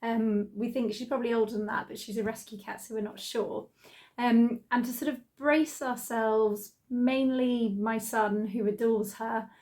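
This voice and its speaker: Croaky British woman chatting about sewing. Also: on the high side. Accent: British English. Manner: chatty, excited, many ums. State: croaky from laryngitis. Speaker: sewing channel host. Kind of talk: casual vlog chat.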